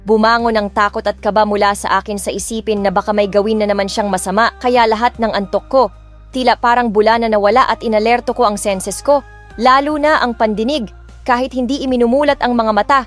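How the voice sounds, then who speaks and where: Filipino woman narrating over soft music. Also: fairly high pitch, steady tone, a little fast. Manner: emotional, restrained storytelling in Tagalog and English. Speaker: narrator and voice of the heroine. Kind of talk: first-person audiobook narration with acted dialogue. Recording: background music under the voice.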